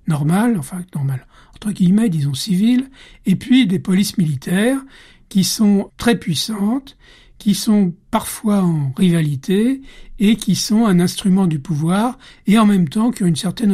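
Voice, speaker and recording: A man's voice: high-pitched, warm tone. A man being interviewed on radio as a guest expert, explaining at length with hesitations and fillers.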